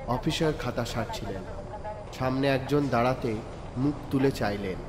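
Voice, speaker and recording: A man's voice: medium pitch, a crowd in the background. Man narrating a crime story. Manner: measured, descriptive storytelling. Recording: reverberant room with background music. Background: soft background music.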